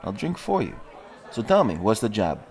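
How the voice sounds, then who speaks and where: A man's voice: fairly low pitch, wildly swooping pitch, normal speed, gravelly, darkish tone, a faint crowd, full character voice. A man playing an evasive, nervy boyfriend dodging his debts in an audio drama. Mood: teasing, casual. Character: evasive, nervy deadbeat boyfriend on the run from debt.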